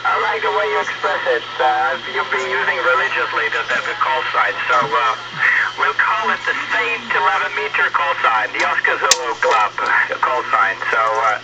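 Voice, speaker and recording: Very loud noise surrounds a man talking over a dull two-way radio link. His voice is gravelly, with lively swings in pitch. He chats casually and haltingly, circling back over his own phrases. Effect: two-way radio transmission. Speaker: amateur radio operator. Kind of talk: casual radio contact chat. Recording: muffled radio signal, very loud static.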